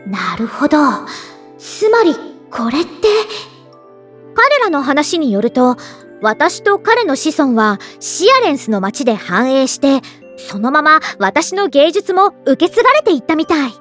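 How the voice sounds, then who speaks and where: A woman's voice: sits high, big pitch swings, a little slow, fairly bright tone, soft background music, exaggerated voice. An actress playing a flamboyant, self-admiring ageless elf artist, narrating her own story in a theatrical, feminine anime drama style. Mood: musing, pleased.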